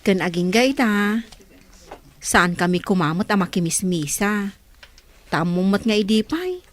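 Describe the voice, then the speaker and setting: A woman's voice: medium pitch, animated, unhurried, close to an everyday voice. A woman playing a kindly, pious middle-aged village auntie in a radio drama, with an Ilocano Filipino accent. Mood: earnest, reassuring.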